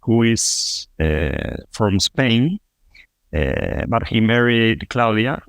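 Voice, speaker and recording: A man from Argentina, a fintech co-founder, answering interview questions in a halting way with many restarts and fillers. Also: lowish voice, sing-song, vocal fry, smooth, no echo, studio quality. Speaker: podcast guest, fintech co-founder.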